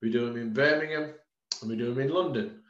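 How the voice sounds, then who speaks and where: A man's voice: mid-range voice, quick pace. A man in his thirties, a strength and conditioning coach, giving an informal webinar presentation over a video call, with frequent ums, calling his listeners guys. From United Kingdom. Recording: roomy, dull lo-fi webcam audio.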